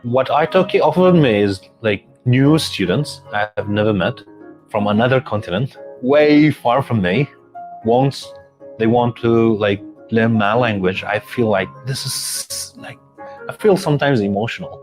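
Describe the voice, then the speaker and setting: A man's voice: medium pitch, measured pace, music in the background, rough recording. A man from Syria, a native Arabic speaker, talking hesitantly in an interview about teaching his language. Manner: hesitant, searching for words.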